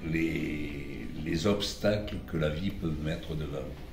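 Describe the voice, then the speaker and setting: A man of about eighty, born in Italy with Italian as his first language, recalling his past in a slow, halting way with frequent restarts. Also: rumbling low. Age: older, about 80. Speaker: interviewee. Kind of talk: reminiscing interview. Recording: roomy echo with background music.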